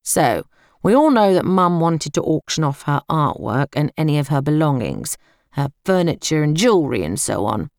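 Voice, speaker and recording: A woman's voice: deep voice, sing-song, normal speed, darkish tone, no room sound, studio quality. A British woman voicing a smarmy, self-important middle-aged uncle in an audiobook, brusque and offhand. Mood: pointed, businesslike.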